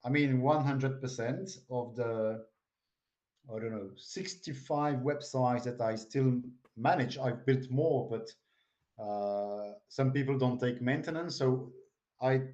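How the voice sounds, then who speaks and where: A man's voice: medium pitch, takes it slowly, a little mellow, muffled recording. Man, Belgian French accent, non-native English, hesitant filler-heavy chat, echoey video call audio. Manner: hesitant, lots of fillers while sharing screen. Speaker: web developer guest. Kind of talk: casual video-call interview.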